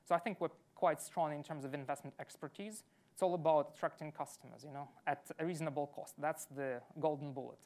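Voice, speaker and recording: Brief: woman founder, low voice, measured explanation of a finance pitch. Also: darkish tone. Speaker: startup founder, investment manager. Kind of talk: pitch answering questions.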